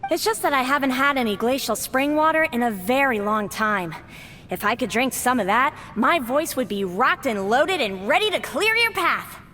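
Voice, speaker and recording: A bright, sing-song talking flower. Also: female voice, high-pitched, lively intonation, moderate pace, in a large hall, slight background noise. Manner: sing-song, theatrical and friendly. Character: cheerful female talking flower that sings. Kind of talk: video game voice acting.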